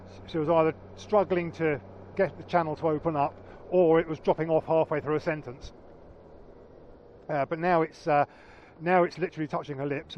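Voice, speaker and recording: A man with a naturally loud voice, chatting casually and haltingly on a vlog, repeating words as he explains. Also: on the high side. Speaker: motorcycle vlogger. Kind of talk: casual chat. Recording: dull lo-fi sound with faint traffic.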